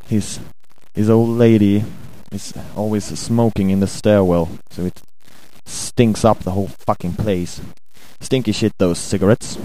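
A young Swedish man with a Swedish accent, hungover, rambling crude thoughts into a home mic in a hesitant, deadpan way. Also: lowish voice, unhurried, poor audio. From Sweden. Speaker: amateur audio ranter. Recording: home recording with buzz and music.

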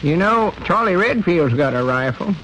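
A man's voice: high-pitched, animated, normal speed, fairly bright tone, slight background noise, poor audio. An actor playing an older rural grandpa who teases his friend, drawling folksy country dialect in old-time radio comedy style. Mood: teasing. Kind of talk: 1930s radio comedy acting.

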